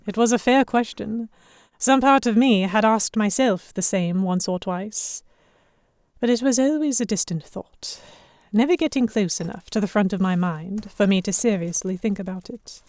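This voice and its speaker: A young, observant heroine played by a woman tells a pirate tale in the first person. Her voice is mellow and medium in pitch. The delivery is measured, literary scripted narration for an audio drama. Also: no echo, studio quality, close to an everyday voice. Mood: reflective. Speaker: narrator and lead actor.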